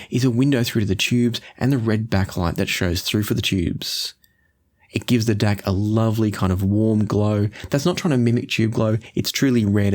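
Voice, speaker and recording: Lower-pitched man presenting a product review. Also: a little mellow. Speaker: host, audio gear reviewer.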